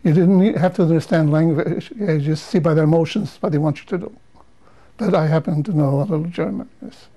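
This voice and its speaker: An older man from Romania, whose first languages are Hungarian, Romanian, Yiddish and Hebrew, speaks slowly with pauses and restarts. His voice sits a little high but has a dark, mellow tone. He talks in a measured way, as in an interview. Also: lo-fi sound. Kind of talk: testimony interview.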